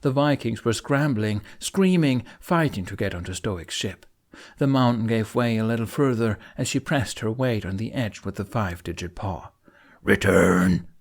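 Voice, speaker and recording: Man, audiobook narration, middle pitch, expressive storytelling, scripted fantasy fiction. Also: normal speed.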